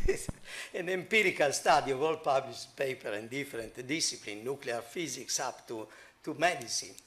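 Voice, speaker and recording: A middle-aged man with an Italian accent giving an academic conference talk in a hall, halting and full of fillers. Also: a little high, fairly bright tone. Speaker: conference speaker.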